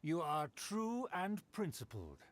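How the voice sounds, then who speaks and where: A haughty aristocrat for an anime game. His voice sits on the high side and swings dramatically up and down. His diction is pompous, formal and old-fashioned. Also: wildly swooping pitch, slow pace, full character voice. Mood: pompous approval. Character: haughty, pompous aristocratic man obsessed with etiquette. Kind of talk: anime video game voice acting.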